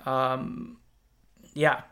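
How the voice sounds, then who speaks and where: A man hosting a podcast, casually talking through a video game in an informal, halting way, thinking aloud as he goes. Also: mid-range voice, level tone, pushed and bright. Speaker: podcast host. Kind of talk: casual conversational game discussion.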